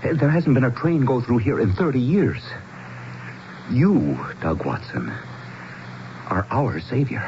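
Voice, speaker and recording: An actor playing a genial, excitable middle-aged townsman with a hearty, eager manner in a radio drama. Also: mid-range voice, takes it slowly, pauses often. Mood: awed, delighted. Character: genial, excitable middle-aged man, neighbor or small-town mayor. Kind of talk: 1970s radio drama acting. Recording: dull, noisy 1970s radio broadcast.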